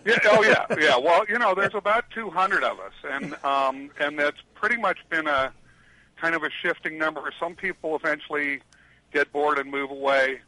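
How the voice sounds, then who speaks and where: An older man, a retired attorney, answering questions as a radio guest in a loud voice, rambling with many fillers. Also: a little high, normal speed, no room sound, rough recording. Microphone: headset.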